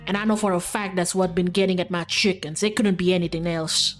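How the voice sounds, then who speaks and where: A woman voicing a gruff, stubborn old rural hunter with a Southern drawl, grumbling in a folksy, threatening way for a game. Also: on the low side, steady tone, a little fast, faint music behind, lightly acted. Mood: grumbling, certain.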